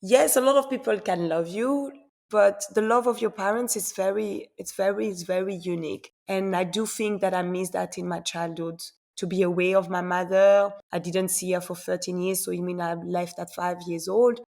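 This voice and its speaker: An earnest woman guest in a podcast interview, her voice full of gravelly fry. She was born in Congo and grew up in Belgium and Switzerland. She speaks reflectively and sometimes repeats a word before going on. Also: on the low side, fairly even intonation, normal speed, a little mellow. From born in Congo, raised in Belgium and Switzerland. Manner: earnest, repeats words while searching for them.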